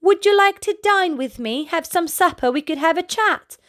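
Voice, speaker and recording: A woman doing a bright, eager alligator. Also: animated, moderate pace. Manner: bright, eager, high-pitched. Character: friendly eager male alligator.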